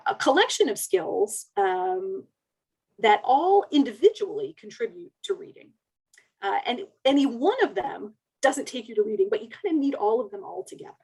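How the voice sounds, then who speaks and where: A woman's voice: fairly high pitch, gravelly, darkish tone, slightly rough, low-quality recording. A woman presenting research in an explanatory webinar lecture, carefully laying out ideas in plain terms.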